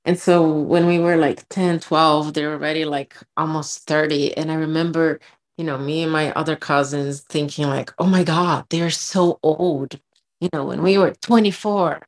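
A woman's voice: sits low, normal speed. A woman in her thirties co-hosting a casual podcast, telling stories with lots of "like" and "you know". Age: 30s. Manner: storytelling, animated, frequent "like" and "you know". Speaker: podcast co-host.

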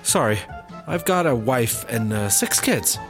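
A man's voice: mid-range voice, expressive, unhurried, fairly bright tone, faint music behind. A man playing a nervous, polite, naive guy in a comedy audio drama, hesitant and apologetic. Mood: awkward, polite.

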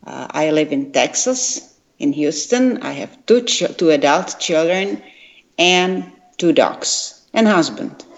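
A retired woman from Czechoslovakia with a Czech accent, explaining science carefully with frequent pauses and fillers. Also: deep voice, animated. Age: older. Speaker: guest, retired quantum chemist. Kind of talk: interview answers. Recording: dull lo-fi sound.

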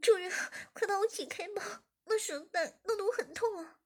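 Give me a high, pleading young woman, played by a female narrator. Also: normal speed, a little mellow. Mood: playful. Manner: pleading, breathy, later playful. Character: young woman playing a submissive captive.

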